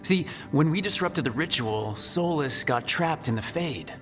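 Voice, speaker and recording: An actor playing a young rebel hero in a fantasy game, conversational, cheeky and earnest. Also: male voice, fairly high pitch, expressive, a little slow, a bit bright, soft background music. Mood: explanatory. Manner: flippant, conversational.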